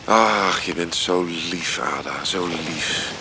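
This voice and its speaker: A man playing a passionate, rationalising lover in a radio drama, with a theatrical, expressive delivery. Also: sits low, slow, noisy background. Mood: seductive. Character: passionate, agitated male lover, romantic and rationalising. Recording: loud background ambience.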